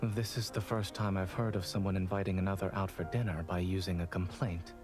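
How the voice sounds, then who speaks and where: An actor playing a composed, cold, straightforward doctor in a video game, speaking in a measured, businesslike way. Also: male voice, low voice, level tone, close to an everyday voice. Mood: calm, quietly attentive. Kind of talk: scripted video game dialogue. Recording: game music behind the voice.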